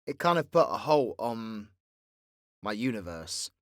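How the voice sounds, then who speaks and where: A soft-spoken British man, an audio roleplay creator, talking candidly and casually to his listeners in an unhurried way. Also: on the low side, very animated, no room sound, studio quality. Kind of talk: casual, confessional talk to listeners.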